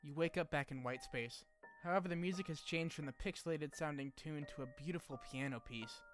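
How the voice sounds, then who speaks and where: A man's voice: fairly high pitch, a little fast. A man narrating a video essay in a casual, scripted recap style, with wry, slangy asides. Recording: music under the voice. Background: music.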